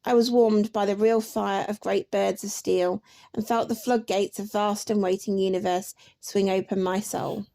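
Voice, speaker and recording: A woman reading literary excerpts aloud as a narrator, in a measured, lyrical way. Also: mid-range voice, fairly even intonation, vocal fry, dark tone. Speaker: reader of book excerpts.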